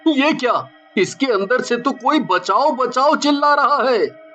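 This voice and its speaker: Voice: sits high, expressive, measured pace, fairly bright tone, lo-fi sound, faint music behind. An actor voicing a caring father of a young boy in a children's horror cartoon. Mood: worried.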